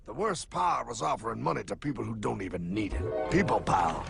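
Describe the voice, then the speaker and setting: A man voicing a cocky, mysterious time-travelling cartoon mentor, gravelly, smug and theatrical. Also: on the low side, expressive, fairly quick. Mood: knowing. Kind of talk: cartoon voice acting. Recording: loud music behind the voice.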